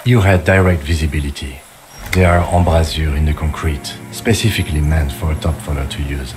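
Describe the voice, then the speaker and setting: An actor playing a composed, methodical police lieutenant in a video game, speaking in a measured, formal, precise way. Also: male voice, very deep voice, darkish tone, soft background music. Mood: calm, probing. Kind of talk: dramatic video game voice acting.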